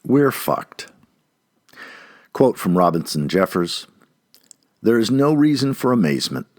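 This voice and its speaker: Man, deep voice, highly varied intonation, emphatic essay reading, dry close sound. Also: sing-song, measured pace, a little mellow, dry recording. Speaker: audiobook-style essay reader. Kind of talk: expressive read-aloud of an essay.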